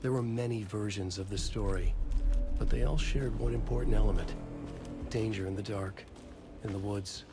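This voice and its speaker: An actor playing a haunted, brooding writer who narrates a horror story gravely in a video game. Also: male voice, fairly low pitch, normal speed, natural voice. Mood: ominous. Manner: grave, ominous delivery. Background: loud music.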